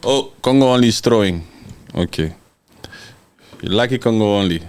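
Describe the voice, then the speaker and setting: A man's voice: on the low side, normal speed. A man hosting a casual livestream, reading out viewer comments and answering them in a brash, mocking, joking way, often breaking into laughter. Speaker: livestream host.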